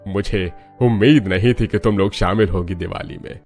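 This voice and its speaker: Cartoon family patriarch, played by a man: elderly, traditional, affectionate, low-pitched, faint music underneath. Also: moderate pace, darkish tone. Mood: pleasantly surprised. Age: older. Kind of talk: Hindi cartoon story dialogue.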